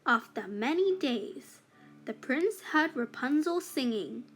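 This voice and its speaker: A woman reading a children's fairytale aloud as a storybook narrator, with deliberate phrasing for young learners. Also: high voice, animated, soft background music.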